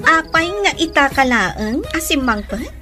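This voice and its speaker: A woman playing a jealous, possessive young woman in a radio drama, scolding in a sharp, nagging manner. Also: high voice, lively intonation, measured pace, over music.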